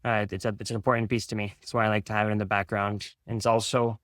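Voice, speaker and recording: A man's voice: lowish voice, flat delivery, quick pace, no echo, clean studio sound. A man in his thirties from Canada, Italian his first language, answering interview questions as a startup founder with many fillers and restarts.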